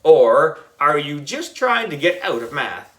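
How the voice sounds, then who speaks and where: A man's voice: a little high, very animated, a little slow, bright, forceful tone, roomy sound, distant mic, exaggerated voice. A man voicing a stern, exasperated male schoolteacher with a firm, commanding manner in a children's storybook. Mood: sceptical.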